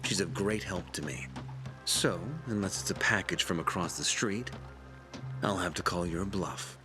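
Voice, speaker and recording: An actor playing a shrewd, pompous leader of a survivor community in a video game, speaking in a deliberate, preacherly way. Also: male voice, low-pitched. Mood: calm menace. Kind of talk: dramatic video game acting. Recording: background music under the voice.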